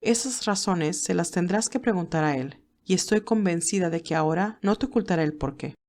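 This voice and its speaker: A woman playing a wise, gentle older motherly mentor, speaking in a measured, reassuring way in an audio drama. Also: lowish voice, lightly acted. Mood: firm, reassuring. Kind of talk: audio drama character acting.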